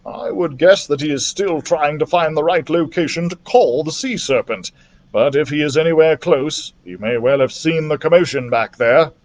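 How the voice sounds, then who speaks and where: A man voicing an earnest, practical doctor in a children's storybook, speaking in a measured, slightly worried way. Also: on the high side, close to an everyday voice. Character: earnest adult male doctor, worried but practical adventurer.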